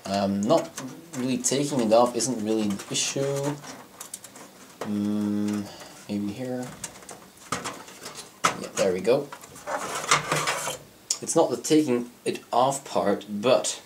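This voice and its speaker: A man's voice: fairly low pitch, takes it slowly, long pauses, background noise. Young man with a cold, casual review. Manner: rambling, many fillers and self-corrections. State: has a cold, slightly scratchy voice. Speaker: hardware reviewer. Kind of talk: casual product review walkthrough. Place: indoors at a desk, handling a PC case.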